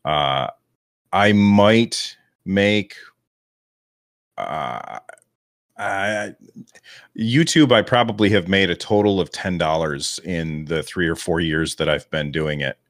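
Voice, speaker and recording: A man with a deep radio voice, rambling and self-deprecating as a guest on a podcast interview. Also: slow, long pauses, no echo, clean studio sound. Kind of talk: relaxed interview talk.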